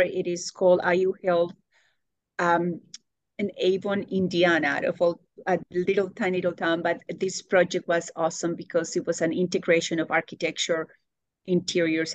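Woman in her sixties, low, dry. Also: no room sound. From Colombia. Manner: warm, searching for words, laughs often. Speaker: guest. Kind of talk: interview answers.

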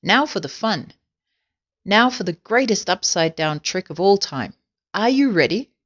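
A woman voicing a bossy, excitable male monkey ringleader in a storybook, giving urgent orders. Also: medium pitch, moderate pace, highs cut off. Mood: excited, commanding. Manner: urgent, shouted commands.

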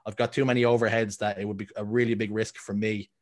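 Rather low-pitched man of thirty with a Dublin Irish accent, telling stories as a guest in a relaxed interview. He rambles, starting over often and filling gaps with ums. His talk stays easy and conversational. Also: fast talker. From Ireland. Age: 30s. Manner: rambling, full of ums and restarts. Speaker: guest, content creator. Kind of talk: casual interview chat.

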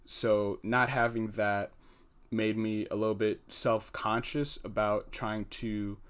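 Soft-spoken young American man, hesitant. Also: fairly low pitch, level tone, smooth. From United States. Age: young adult. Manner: hesitant, pauses often with fillers, earnest. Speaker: engineering student guest. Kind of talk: podcast interview answer.

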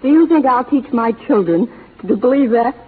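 An actress playing a principled, refined young schoolteacher with an earnest, dramatic delivery in 1930s radio melodrama style. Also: female voice, a little high, expressive, normal speed, slight background noise, natural voice. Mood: anguished, defiant. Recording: tinny, muffled 1930s radio recording.

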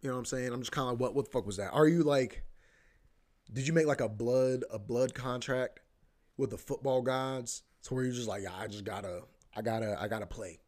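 A man's voice: mid-range voice, a little fast, a little mellow. A man hosting a podcast, rambling casually about sports, repeating himself, swearing and saying "bro" a lot. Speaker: podcast host.

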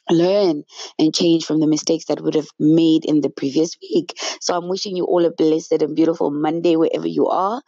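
A woman talks in a low, deep register, sounding fluey and a little breathless as she chats upbeat about faith. The sound is close and dry, with a slightly dull, lo-fi quality. Her delivery is casual and storytelling. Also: gravelly, dark tone, husky, dry recording. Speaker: vlogger. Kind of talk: casual inspirational talk to camera.

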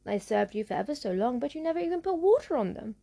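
A woman's voice: fairly high pitch, sing-song, fast talker, creaky, mellow, husky, full character voice. A woman voicing an indignant talking garden gate in a storybook reading.

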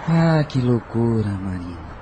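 A man's voice: fairly low pitch, big pitch swings, a little slow. A man playing a worried, guilt-ridden husband and father, earnest and troubled, in a radio soap opera. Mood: guilty, anguished. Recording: noisy audio with ambient sound.

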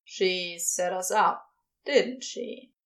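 An awkward, grumpy, smitten storybook man, acted by a woman. The words come slowly and bluntly, in a lowered pitch, with a halting, flustered delivery. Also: a bit bright. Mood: indignant, flustered.

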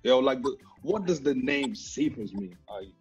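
A man's voice: medium pitch, expressive, unhurried. A man hosting a podcast in casual, slangy chat, often repeating his phrases for emphasis. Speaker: podcast host. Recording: muffled lo-fi audio, faint music.

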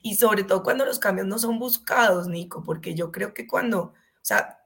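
A woman's voice: mid-range voice, moderate pace, creaky, a bit bright. A woman with a Colombian accent explaining conversationally as an expert guest, emphatic and fond of rhetorical questions.

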